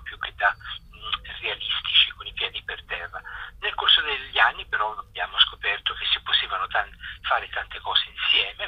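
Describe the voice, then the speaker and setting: A man speaking as an association spokesman in an informal interview, explaining a project and pausing to search for words. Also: fairly low pitch, bright, forceful tone, husky, dry recording, poor audio. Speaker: spokesman of a jazz festival association being interviewed.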